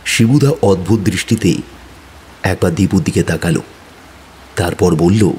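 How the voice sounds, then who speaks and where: A man's voice: sits low, unhurried, mellow. A man narrating a scripted horror story in the first person. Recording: rain-like hiss behind the voice.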